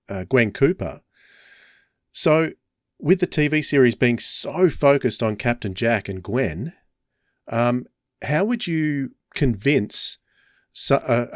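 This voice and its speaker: A man's voice: medium pitch, dry recording. Male podcast host, close dry sound. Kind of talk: conversational podcast discussion.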